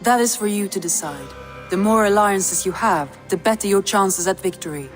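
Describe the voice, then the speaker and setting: A woman acting as a composed, supportive Viking adviser in a video game, calm and formal. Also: mid-range voice, normal speed, faint music behind, lightly acted.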